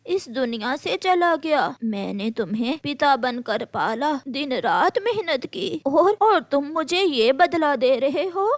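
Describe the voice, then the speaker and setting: A woman's voice: high voice, animated, takes it slowly, few pauses, dry recording, full character voice. A woman voicing an elderly, loving and wronged mother crow in a cartoon story, pleading and emotional. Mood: hurt, reproachful. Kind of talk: Hindi animated moral story dialogue.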